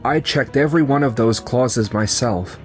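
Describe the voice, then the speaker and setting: A man's voice: medium pitch, moderate pace, close to an everyday voice. Man acting young businessman in a manga dub. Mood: smug, businesslike. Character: betrayed young company founder, calm and resigned, and his coworkers. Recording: music under the voice.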